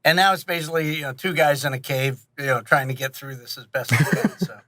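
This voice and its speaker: Bright, higher-pitched man, joking. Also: fast, no echo. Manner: joking, conversational. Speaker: podcast guest, audio producer. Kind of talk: casual interview chat.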